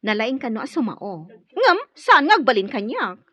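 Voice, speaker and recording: High-voiced, coy young girlfriend. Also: big pitch swings, moderate pace, fairly bright tone, breathy edge, no room sound, heavily acted. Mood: sly, confident. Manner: coy and honeyed, turning sly. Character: two-faced young girlfriend, sweet outside, calculating underneath. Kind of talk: radio drama acting.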